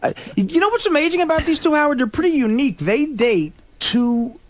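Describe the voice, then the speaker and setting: A man's voice: very high-pitched, lively intonation, brisk, a crowd in the background. A man bantering casually as the comic sidekick on a radio show, joking in a crude way.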